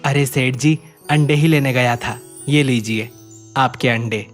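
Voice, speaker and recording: A man's voice: medium pitch, measured pace, faint music behind, lightly acted. A man voicing a poor, humble, obliging village egg seller in a cartoon, with a deferential, explaining manner. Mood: humble, mildly complaining.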